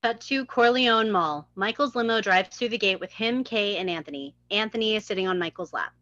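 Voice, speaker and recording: Bright-voiced woman reading a script. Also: medium pitch, a little fast, no echo, highs cut off. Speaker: script reader. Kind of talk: reading stage directions and dialogue in a table read.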